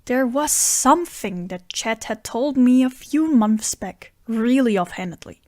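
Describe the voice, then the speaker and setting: A woman plays an earnest friend with a scheme, narrating an audio drama. The voice is fairly high and recorded close and dry, without room sound. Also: animated, measured pace, no echo. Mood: reflective narration. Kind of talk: audio drama dialogue and first-person narration.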